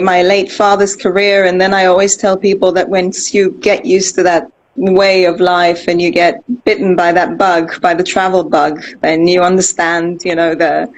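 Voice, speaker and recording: A woman's voice: on the low side, low-quality recording. A middle-aged woman from Austria, a guest in a casual interview, talking in a reflective, rambling way with many fillers and restarts.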